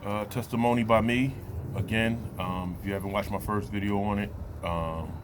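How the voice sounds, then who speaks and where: Guy late 30s, kinda deep voice, slangy off the cuff vlog talk, noisy like a vehicle. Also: fairly even intonation, moderate pace. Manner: colloquial street slang, frequent "you know" and "man". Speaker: vlogger giving a personal testimony. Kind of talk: casual unscripted personal talk to viewers.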